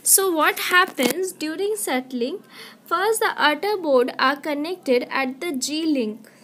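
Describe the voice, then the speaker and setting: Slow, high-voiced woman lecturing. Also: fairly bright tone, a little hiss or hum. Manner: halting, with restarts and fillers while explaining. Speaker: online class teacher. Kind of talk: slide-based lecture.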